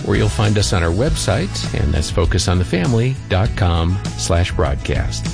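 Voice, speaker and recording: A man's voice: low-pitched, very animated, normal speed, gravelly, over music. A middle-aged man co-hosting a radio program, giving a semi-scripted broadcast announcement in a conversational way. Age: middle-aged or older.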